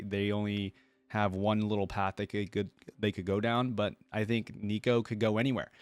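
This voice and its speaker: A man with a fairly low voice hosts a reaction video in a casual, chatty style. He rambles informally like a keen fan speaking straight to his audience. The sound is dry, with very little room in it. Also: dry recording. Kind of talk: casual chat to viewers.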